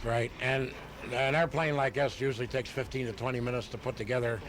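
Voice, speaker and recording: A man's voice: mid-range voice, steady tone, fairly quick. A man casually explaining his hobby as a radio interview guest, in a matter-of-fact way. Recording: noisy home tape of live radio.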